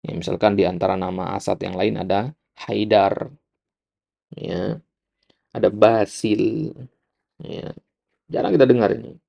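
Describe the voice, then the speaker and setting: Low, very creaky Indonesian male teacher. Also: steady tone, measured pace, long pauses, warm tone, lo-fi sound. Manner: didactic, repetitive, frequent short check words. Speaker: Islamic studies teacher. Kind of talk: explanatory religious lecture, reading and glossing an Arabic text.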